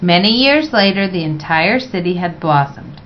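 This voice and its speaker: A woman's voice: on the low side, lively intonation, normal speed, a little hiss or hum. Woman reading a storybook, slightly dull recording. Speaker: storyteller reading a picture book aloud. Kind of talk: read-aloud children's story narration. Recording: dull, lo-fi sound.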